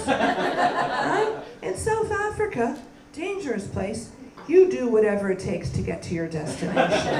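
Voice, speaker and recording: A woman from Canada doing a stand-up comedy set, delivering deadpan punchlines and bantering with the crowd on a club stage. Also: high-pitched. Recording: roomy live club sound from a distance with crowd laughter.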